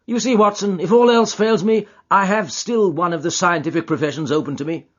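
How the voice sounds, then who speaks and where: A genial, self-assured gentleman detective, as a male reader plays him, his voice lifted and husky. He sounds friendly and confident, and the audiobook recording is dull and lo-fi. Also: high voice, a little fast, voice pushed up. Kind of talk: audiobook character voice.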